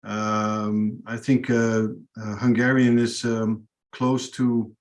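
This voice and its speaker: A man's voice: fairly low pitch, level tone, slow. Hesitant Dutch-raised man chatting. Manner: hesitant, many fillers. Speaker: guest. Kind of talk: casual video call chat.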